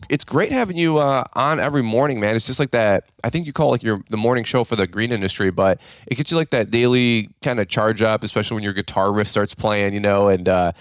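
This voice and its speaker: A man hosts a podcast in a slightly low, raspy morning voice. He talks in an upbeat, rambling way, full of fillers and restarts. The sound is dry and close. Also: dry recording. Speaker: podcast host. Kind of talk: casual podcast chat.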